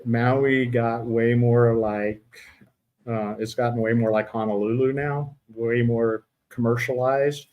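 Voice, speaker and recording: Middle-aged male host, mid-pitched, chatty but stumbling, many restarts. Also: steady tone, highs cut off. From Utah. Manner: halting, many fillers and restarts. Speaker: podcast host. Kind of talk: casual interview chat.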